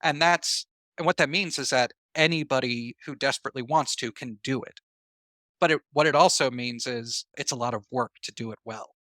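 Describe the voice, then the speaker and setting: A man's voice: medium pitch, moderate pace, pushed and bright, no room sound. A man speaking as an interview guest, reflective and earnest, emphatically rephrasing his points as he explains. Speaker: podcast guest, writer and actor.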